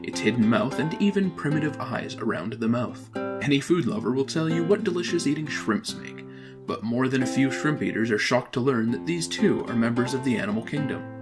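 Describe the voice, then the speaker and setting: A man reading scripted educational narration in a measured, formal, explanatory manner. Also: mid-range voice, expressive, music in the background.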